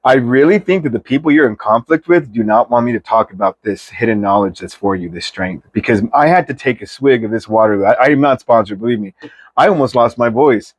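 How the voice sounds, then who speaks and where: A man's voice: medium pitch, brisk, no room sound. A man reads tarot for his viewers in an informal, chatty style, giving an emphatic pep talk with slang and mild swearing. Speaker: tarot reader.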